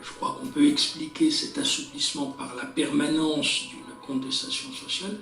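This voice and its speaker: A man answering a radio interview, explaining at length in a measured way with small hesitations. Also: fairly high pitch, level tone, gravelly, fairly bright tone. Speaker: expert interviewee. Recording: roomy echo from a distance, faint music.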